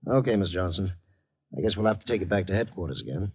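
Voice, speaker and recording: Gruff impatient cop from old radio show, husky, swings up and down a lot, brusque. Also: male voice, low voice, lively intonation, fast talker. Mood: gruff, businesslike. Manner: brusque, demanding. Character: gruff, impatient male police sergeant, hard interrogator. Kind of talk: 1950s radio drama acting. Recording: dull old radio recording.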